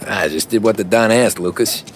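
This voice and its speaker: An American actor playing an earnest, understated young mob driver in a cinematic 1930s crime game. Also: male voice, on the low side, moderate pace, a little hiss or hum, close to an everyday voice. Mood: guarded, low-key. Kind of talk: naturalistic cinematic game acting.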